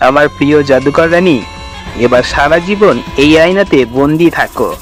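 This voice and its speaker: A man's voice: on the high side, expressive, slow pace, faint music behind. A man voicing a pompous, mocking, cackling evil sorcerer in a fairy-tale cartoon. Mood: gloating. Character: gloating wicked male sorcerer who usurps the throne.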